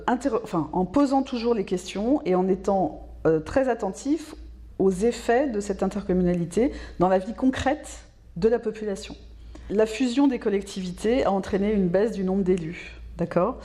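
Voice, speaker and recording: A woman giving explanatory interview answers as a specialist, in a measured, didactic way, repeating words for emphasis. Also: medium pitch, warm tone. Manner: measured, didactic, emphatic repetition, checks understanding with tags. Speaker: local government specialist being interviewed.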